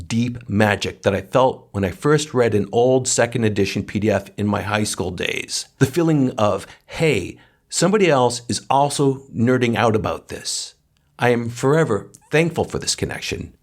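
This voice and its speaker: Male podcast co-host, medium pitch, solemn and sincere delivery of read-aloud tributes. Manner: earnest, solemn.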